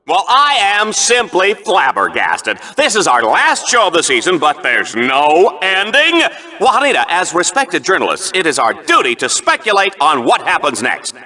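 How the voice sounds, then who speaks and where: A vain, excitable male sportscaster from a sci-fi video game. His voice is piercingly bright and forceful, sitting high. He calls everything with bombastic, theatrical showmanship, sliding dramatically between smug purr and shriek. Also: exaggerated voice. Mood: smug, chatty. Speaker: game show commentator. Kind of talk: over-the-top sports commentary from a video game.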